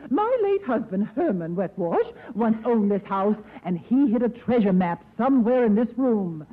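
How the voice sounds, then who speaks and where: A woman's voice: fairly high pitch, very animated, normal speed. A vain, flirtatious widow from 1940s radio comedy. She is theatrical and coy, her voice lilting widely. The recording is thin and tinny. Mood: scheming. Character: sly, flirtatious, vain middle-aged widow, comic foil.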